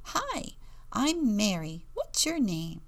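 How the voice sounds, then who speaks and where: A woman voicing a bubbly young girl penguin in a storybook, squeaky, gushing and confident. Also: wildly swooping pitch, slow pace, exaggerated voice. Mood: excited.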